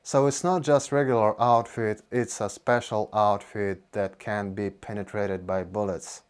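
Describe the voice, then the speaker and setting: Low-voiced Russian-accented man explaining. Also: steady tone, measured pace, smooth. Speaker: host of a language explainer video. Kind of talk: informal educational explanation to camera.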